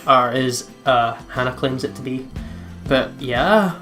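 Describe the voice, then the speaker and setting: A man's voice: mid-range voice, slow, fairly bright tone. A man hosting a let's play, chatting casually and informally over a game. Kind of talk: casual chat over gameplay. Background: music.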